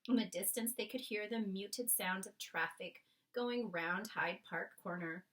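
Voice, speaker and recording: A woman reading a children's book aloud expressively, with careful, dramatic phrasing and pauses. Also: medium pitch, fairly bright tone, recorded from a distance. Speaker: storybook reader.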